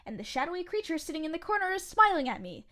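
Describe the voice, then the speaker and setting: Brief: high, lively young woman, actress as a fed-up haunted-diner waitress. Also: quick pace, full character voice. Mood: exasperated. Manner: exasperated, blunt, swears. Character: jumpy, exasperated young woman waiter at a haunted restaurant. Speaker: restaurant server. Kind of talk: comedic audio drama acting.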